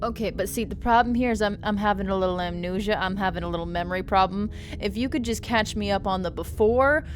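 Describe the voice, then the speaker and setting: A young adult woman streaming a game with casual commentary, rambling and joking with stammering restarts. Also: medium pitch.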